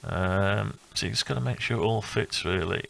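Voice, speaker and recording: A man giving a casual, unscripted walkthrough of a hobby build, thinking aloud in a halting, rambling way. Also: fairly low pitch, gravelly, a bit bright, a little hiss or hum. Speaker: electronics hobbyist presenting own build.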